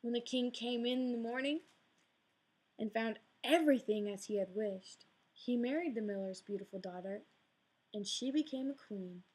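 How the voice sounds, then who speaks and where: A woman narrating a fairy tale in an expressive, gently dramatic read-aloud style. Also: on the high side, normal speed, slightly rough, low-quality recording. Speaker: storyteller.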